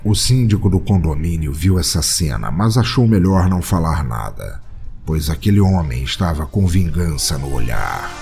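A man's voice: very deep voice, big pitch swings, normal speed, vocal fry, darkish tone, soft background music. A man narrating a comedy audio drama in a dramatic, scripted storytelling style.

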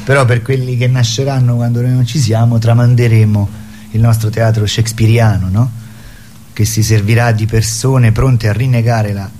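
A man's voice: lowish voice, mellow, slight background noise. A man chatting casually as a theatre guest, wry, provocative and rambling. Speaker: theatre performer, radio guest. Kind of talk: casual chat.

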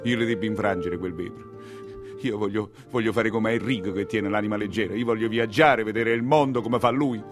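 A man's voice: lowish voice, a little fast, music in the background, close to an everyday voice. A man playing a frustrated son pleading for freedom, impassioned and repetitive in a theatrical radio monologue. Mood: impassioned, defiant. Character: yearning, frustrated son pleading with his father to live freely.

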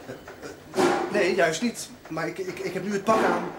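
A man's voice: sits high, fairly even intonation, normal speed. An actor playing a flustered, evasive male suspect who stammers and hesitates, in a 1970s Dutch television drama. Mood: nervous, evasive. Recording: noisy old TV soundtrack with clicks.

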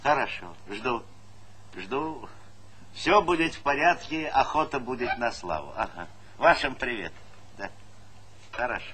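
An actor playing a brisk, folksy, middle-aged collective farm chairman who is bossy and repeats words in quick bursts, in a stage comedy. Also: medium pitch, expressive, bright, forceful tone, husky, full character voice. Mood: deferential, affable. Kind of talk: theatrical stage comedy acting. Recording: noisy 1970s Soviet theatre recording.

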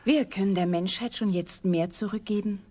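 Woman as husky, coldly resolute young scientist. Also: mid-range voice, dark tone, lightly acted. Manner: measured, earnest, formal. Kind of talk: German radio drama acting.

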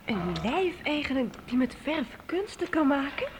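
A woman playing a spirited, kind-hearted young harpist in a Dutch radio drama, with emphatic, expressive delivery. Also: sits high, measured pace. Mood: incredulous. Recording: noisy old radio play recording.

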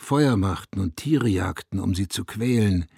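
A brooding villager telling his story in a radio drama. The voice is low and gravelly, husky at the edges, recorded close and dry. He speaks in a measured, reflective way, like someone confiding an old secret. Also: mellow, no echo, full character voice. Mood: wistful, confiding. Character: brooding male villager recalling a lonely, watchful youth. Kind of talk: literary radio drama narration.

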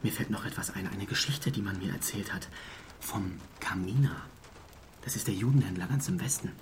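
A man playing a talkative, deferential small-town eyewitness in a radio drama, often hushed to a half-whisper. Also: low voice, moderate pace, natural voice. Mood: conspiratorial. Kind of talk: German radio drama acting. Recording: faint background noise and ambience.

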